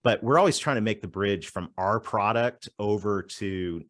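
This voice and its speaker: Canadian guy on a podcast, lowish voice, upbeat storyteller, dry close mic. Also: normal speed, a bit bright, no echo. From southwestern Ontario, Canada. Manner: upbeat storyteller who laughs often. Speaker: guest, growth strategist. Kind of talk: casual podcast interview.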